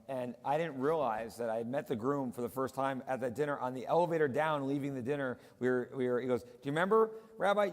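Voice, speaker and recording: Male rabbi, conversational storyteller, mid pitch, long hall reverb. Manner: chatty storyteller, many fillers. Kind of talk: casual panel conversation. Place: large synagogue hall.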